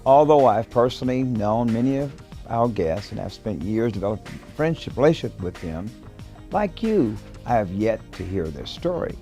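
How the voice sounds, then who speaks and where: A man's voice: on the low side, very animated, moderate pace, dark tone, soft background music. A man hosting an interview show, eager, interjecting and stumbling over his words. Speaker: talk show host. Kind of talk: interview host.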